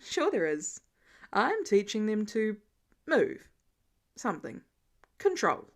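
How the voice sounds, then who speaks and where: A woman's voice: mid-range voice, big pitch swings, slow. A woman voicing a flippant, childish grown man, a genius billionaire boss, in an audiobook-style story reading.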